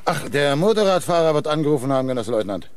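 A man's voice: on the high side, big pitch swings, quick pace. An actor playing a matter-of-fact policeman reporting in a German radio crime drama. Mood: factual.

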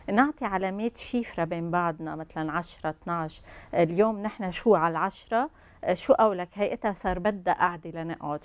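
A Lebanese woman in her fifties talks fast in a slightly low voice. She explains like a counsellor in conversation, dropping in French terms as she goes. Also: a little mellow, clean tone, no room sound. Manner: mixes French terms into Arabic. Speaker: guest expert, couples and family counsellor. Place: TV studio. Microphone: lapel mic.

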